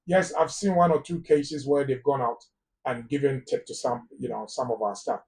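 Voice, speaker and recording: A man's voice: medium pitch, normal speed, a little mellow, recorded from a distance. A man with a Ghanaian English accent giving immigration advice on a live phone-in talk show, emphatic and repeating words for stress. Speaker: immigration lawyer guest.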